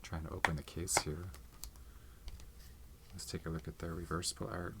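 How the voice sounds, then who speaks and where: A soft-spoken man chatting casually in an ASMR style, hesitant, rambling and unhurried. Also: low voice, level tone, gravelly, very noisy. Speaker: video host showing movie collection. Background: tapping and handling of movie cases.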